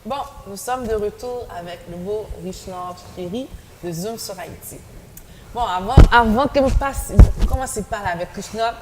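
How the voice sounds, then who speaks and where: A woman's voice: mid-range voice, moderate pace. An upbeat woman hosting a talk show in a casual, exclamatory way. Her voice carries a long reverb, and a faint hiss of background noise sits behind her.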